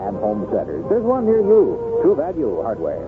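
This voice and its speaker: A male announcer delivers a scripted vintage radio commercial. His medium-pitched voice moves up and down in a lively way, over loud music on a tinny broadcast. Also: normal speed. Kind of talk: scripted 1970s radio advertisement.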